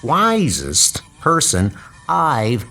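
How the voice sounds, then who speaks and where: A man's voice: medium pitch, wildly swooping pitch, very slow, pushed and bright, exaggerated voice. A man voicing an earnest, polite schoolboy insect collector in a comic book dub, speaking precisely. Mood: defensive.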